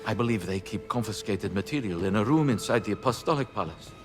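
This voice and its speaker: An actor playing a gentle, secretive, learned priest in a video game. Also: male voice, fairly low pitch, expressive, fast, music in the background, lightly acted. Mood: guarded, kindly. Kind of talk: cinematic game dialogue.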